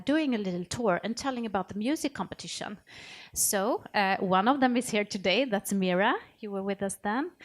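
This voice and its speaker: A woman speaking informally on a panel, in halting phrases with self-corrections. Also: mid-range voice. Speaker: panelist, music project coordinator.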